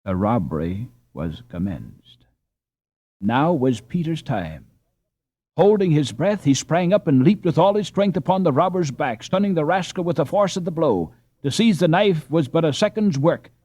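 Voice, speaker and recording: A man narrating an audiobook adventure in a suspenseful, expressive, dramatic storytelling style. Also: a little high, normal speed, breathy edge, no echo.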